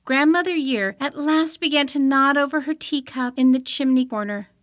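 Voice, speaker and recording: A woman reading an old-fashioned children's story aloud in an expressive storytelling manner. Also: high voice, moderate pace, no room sound, clean studio sound. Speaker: storybook reader.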